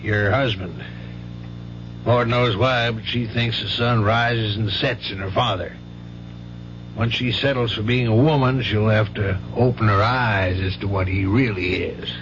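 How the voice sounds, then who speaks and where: A man's voice: fairly low pitch, fairly even intonation, unhurried. An actor playing a firm, kindly, plain-spoken country family doctor in a 1970s radio drama, with folksy, fatherly emphasis. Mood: grave. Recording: muffled, noisy old radio broadcast with hum.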